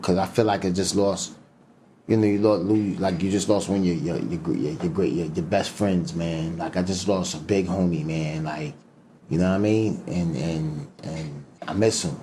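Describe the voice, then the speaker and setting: A man's voice: sits low, steady tone, normal speed, warm tone, slight background noise. A man talking in an interview as a guest, emphatic and profane, full of fillers and slang. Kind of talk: casual interview talk.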